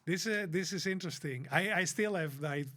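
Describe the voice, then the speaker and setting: A fairly high-pitched man hosts a relaxed podcast. He hunts for words with plenty of "uh" and "um" and laughs easily along the way. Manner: hesitant, many fillers, quick to laugh. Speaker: podcast co-host. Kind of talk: informal unscripted conversation.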